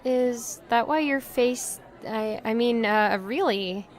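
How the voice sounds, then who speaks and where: A woman's voice: fairly high pitch, slow pace. An actress playing a naive, polite young woman in an audio drama, hesitant and eager to ask questions. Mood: amazed, curious. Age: young adult.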